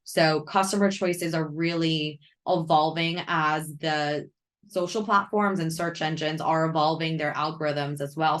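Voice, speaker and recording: Hoarse woman, very low voice, friendly webinar presenter. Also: fairly bright tone. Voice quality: naturally deep voice. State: hoarse, losing her voice. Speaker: customer success head presenting a webinar. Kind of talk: online marketing webinar talk.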